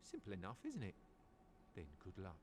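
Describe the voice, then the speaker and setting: Sly, low-voiced hoofed guide. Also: male voice, wildly swooping pitch, moderate pace, darkish tone, slight background noise. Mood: patronizing, conspiratorial. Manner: theatrical, patronizing explaining. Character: sly, chatty male guide with hooves, smugly evasive. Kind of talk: video game voice acting.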